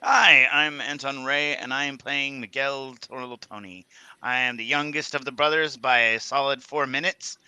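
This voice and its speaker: A man casually introducing his tabletop game character in a halting, slightly stammering way. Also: mid-range voice, steady tone, pushed and bright, smooth, dry recording, poor audio.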